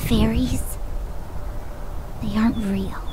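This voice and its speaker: Slow, high-voiced dreamy girl. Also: fairly even intonation, background noise. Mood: musing. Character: Holly, dreamy, hesitant teenage girl visitor. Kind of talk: visual novel voice acting.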